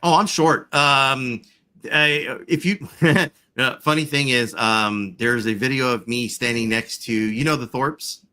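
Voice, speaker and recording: A man's voice: medium pitch, a little slow, pushed and bright. A man in his forties chatting casually, rambling with lots of fillers and the odd swear. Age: 40s. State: chronic back pain. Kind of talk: casual chat.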